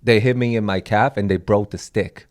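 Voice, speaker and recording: A man's voice: on the low side, steady tone, normal speed, a little mellow. A young man from Taiwan, co-hosting a casual comedy podcast, rambling through stories and acting out the quotes. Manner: rambling storyteller, acts out quotes. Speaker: podcast co-host.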